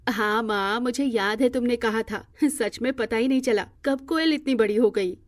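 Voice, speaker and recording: A woman's voice: fairly high pitch, moderate pace, natural voice. A woman playing a happy, grateful mother talking affectionately in a cartoon moral story. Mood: cheerful.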